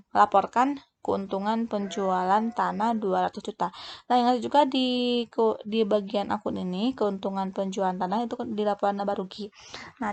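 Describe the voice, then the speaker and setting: A woman giving an explanatory lecture as a tutor, in a halting delivery that often corrects itself. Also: medium pitch, vocal fry, darkish tone. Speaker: accounting tutor.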